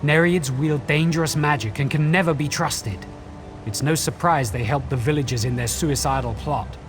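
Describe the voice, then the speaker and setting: Guy voicing a learned quest guide in a fantasy game, articulate n formal, slightly high pitch, soft music behind. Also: normal speed, lightly acted. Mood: measured, explanatory. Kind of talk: video game dialogue, explanatory.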